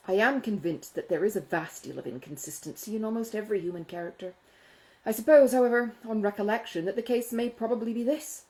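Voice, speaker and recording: A woman voicing a self-important, money-minded gentleman in a Regency audiobook, exclaiming and confiding in a pompous manner. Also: medium pitch, natural voice, poor audio. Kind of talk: acted audiobook dialogue from a Regency novel.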